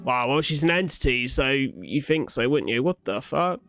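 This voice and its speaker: A man's voice: medium pitch, lively intonation, normal speed, a bit bright, soft background music. A young man giving casual reaction commentary, thinking aloud in an informal, sweary way. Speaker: reaction video host.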